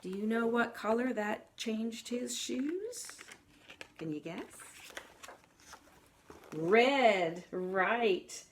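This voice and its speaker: A woman reading a picture book aloud to young children in an animated way, putting questions to her listeners and exclaiming along with the story. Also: on the high side, very slow, pauses often, slight background noise.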